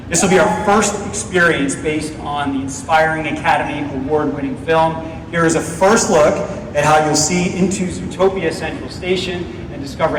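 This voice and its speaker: A man's voice: a little high, distant mic. A man giving an upbeat, projected keynote presentation on stage as a company executive, addressing a live audience. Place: large darkened convention auditorium, on stage. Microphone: stage PA heard from far back in audience. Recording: audience phone recording, echoey hall, crowd noise.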